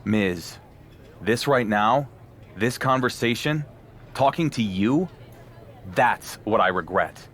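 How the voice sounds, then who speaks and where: A man's voice: fairly low pitch, expressive, takes it slowly, long pauses, fairly bright tone. A man playing a wry, earnest underdog wrestler in a video game, talking in a casual, understated, dryly sarcastic way. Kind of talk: scripted video game cutscene acting.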